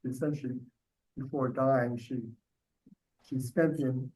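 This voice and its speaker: An older man taking part in a casual book discussion, speaking in a halting, thoughtful way. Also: mid-range voice, steady tone, a little mellow, poor audio. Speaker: book club member.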